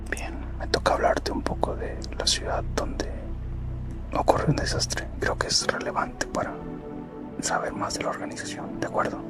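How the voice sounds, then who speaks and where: A man whispering a scripted ASMR roleplay narration in a hushed, explanatory manner. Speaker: ASMR roleplay host, playing a corporate recruiter. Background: music.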